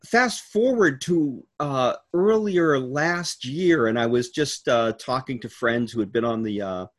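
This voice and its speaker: A man in his sixties giving a halting, storytelling answer in an informal virtual interview, with many fillers and the odd laugh. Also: mid-range voice, expressive. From United States. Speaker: keynote speaker. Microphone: video call.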